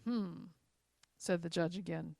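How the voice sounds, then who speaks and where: A woman's voice: on the low side, takes it slowly, creaky, dark tone, no echo. Woman reading a Christmas story aloud. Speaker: story reader. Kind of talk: expressive read-aloud Christmas story narration.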